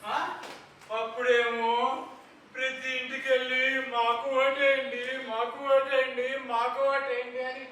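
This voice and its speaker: A man's voice: extremely high pitch, little pitch movement, unhurried, sharp tone, slight background noise, exaggerated voice. A male stage actor playing an angry villager who scolds corrupt politicians with curses, heard from far off in an echoing hall. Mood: scornful. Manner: scornful and cursing. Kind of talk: live stage play. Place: stage in a theatre space. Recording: echoey hall picked up from far off.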